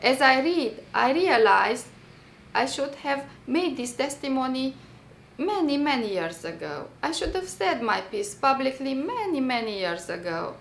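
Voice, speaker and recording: Female reader, Romanian accent, gravelly fry, deliberate personal-testimony delivery, echoey room. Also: high-pitched, big pitch swings, a bit bright. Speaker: writer reading own work. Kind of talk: dramatic reading of a personal testimony essay. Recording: roomy echo, faint background noise.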